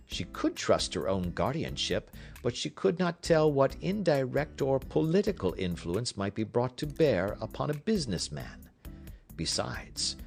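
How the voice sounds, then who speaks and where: A man voicing a brilliant, self-assured detective in an audiobook, brisk and commanding, with an emphatic, didactic delivery. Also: medium pitch, creaky, a little mellow, pitched above the performer's own voice.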